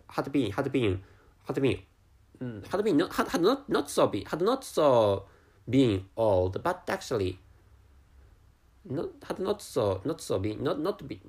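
A man with a Japanese accent, a native Japanese speaker, talking alone on his podcast in slow, halting English full of restarts and repeated words. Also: mid-range voice, creaky. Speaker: podcast host. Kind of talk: casual solo podcast monologue.

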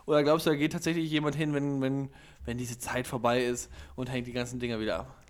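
A man's voice: medium pitch, flat delivery, fast talker, clean tone. A young man from Germany, chatting casually, teasing and often restarting his sentences. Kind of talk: casual chat.

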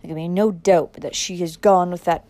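A woman's voice: fairly low pitch, steady tone, a little fast, mellow, no echo, close to an everyday voice. Haughty, stern patriarch for a storybook, older man played by a woman, stiff and commanding. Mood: cold, stern. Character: proud, stern older father, domineering and angry.

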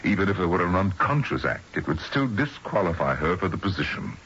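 An actor playing a stuffy, prim male employer, formal and pompous, with a husky voice, in old-time radio drama. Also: low voice, very animated, a little fast, a bit bright, a little hiss or hum. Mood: stiff, reluctant. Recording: dull, muffled old radio recording.

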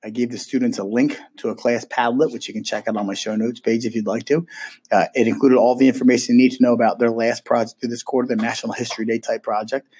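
A man who teaches middle school social studies gives families his weekly podcast update. He is hoarse from a cold and chats casually, with frequent ums and restarts. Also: medium pitch, level tone, quick pace, a little mellow.

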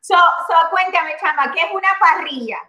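A high, bright woman's voice hosting a live online chat. She talks animatedly and keeps dropping English words into her Spanish. The sound is roomy, with a clear echo around her. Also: moderate pace. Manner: animated, mixes English into Spanish, greets viewers. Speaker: host of a live social media show. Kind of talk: casual live-stream chat.

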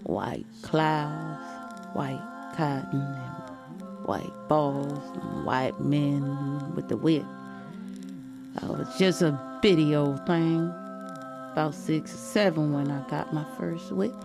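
A woman's voice: deep voice, slow, vocal fry, music in the background, exaggerated voice. A woman voicing an elderly, formerly enslaved Southern grandmother, sorrowfully remembering in weary dialect storytelling. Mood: pained, remembering.

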